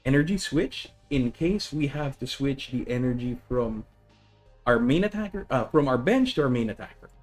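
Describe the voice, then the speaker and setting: Filipino-accented man, mid-pitched voice, chatty live streamer, faint music behind. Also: expressive, moderate pace. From Philippines. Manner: chatty, self-correcting, greets viewers. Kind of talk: casual live-stream deck explanation.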